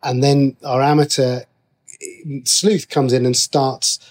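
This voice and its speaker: A man with a mid-pitched voice talks as a guest in an interview. He explains his craft conversationally, in a halting manner, circling back to rephrase his thoughts. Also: flat delivery, darkish tone. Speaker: interview guest, TV and radio writer.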